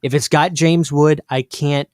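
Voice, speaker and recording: Dry, emphatic horror-fan host. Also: male voice, on the high side, fairly even intonation, unhurried, darkish tone, clear, resonant tone, dry recording. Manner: enthusiastic, emphatic, repeats catchphrases. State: losing his voice. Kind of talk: casual live stream chat.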